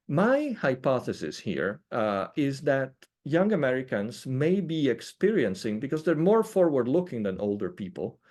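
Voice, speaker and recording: Italian-born man lecturing with many fillers. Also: medium pitch. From Italy. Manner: hesitant, many uh fillers, lively emphasis. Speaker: economist giving a webinar talk. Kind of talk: lecture presentation. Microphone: video call.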